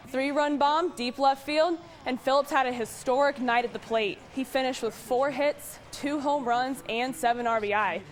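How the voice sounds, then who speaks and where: A woman television sports reporter delivering scripted highlights and team news. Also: a little hiss or hum.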